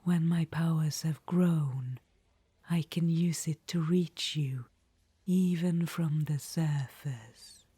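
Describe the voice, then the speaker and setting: A woman's voice: low-pitched, takes it slowly, husky, no room sound, heavily acted. A woman voicing a scheming, dryly wry undead witch queen in a whispered audio roleplay. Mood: coaxing. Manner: soft unvoiced whisper.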